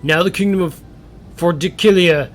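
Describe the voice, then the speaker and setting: A man voicing an unsure knight captain and father, halting, with questioning upward endings. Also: high voice, natural voice. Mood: solemn, uncertain.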